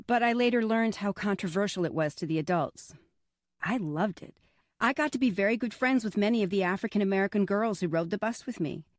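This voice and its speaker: A woman's voice: mid-range voice, no echo. Dry-recorded woman telling a personal story. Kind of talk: scripted first-person storytelling.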